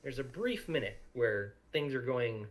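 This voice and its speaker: A man giving casual behind-the-scenes commentary, halting and repeating words as he thinks aloud. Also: mid-range voice, expressive. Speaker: audio drama creator giving commentary.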